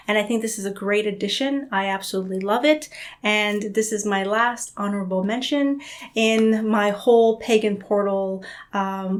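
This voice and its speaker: A woman hosting her own channel, chatting casually to camera with frequent ums and filler phrases. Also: medium pitch. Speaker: host of a spiritual book channel.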